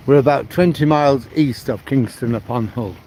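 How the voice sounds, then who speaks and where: A man's voice: mid-range voice, moderate pace, a little mellow, a little hiss or hum. A man from Manchester with a mixed northern English accent, chatting casually to viewers on a livestream as he walks a riverside promenade. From Manchester, England. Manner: chatty, answers viewers' comments by name. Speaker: livestream vlogger. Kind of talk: casual walking livestream chat.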